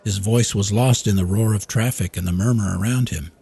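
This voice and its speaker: A man's voice: on the low side, fairly quick, dark tone, husky. A man narrating a short story from a script in a dramatic reading style. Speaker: audiobook reader.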